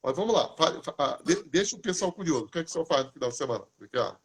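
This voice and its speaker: A man hosting a casual live talk, chatty and lively, asking questions and answering them himself. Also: a little high, very fast, husky. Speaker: host. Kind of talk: casual live talk giving diet tips.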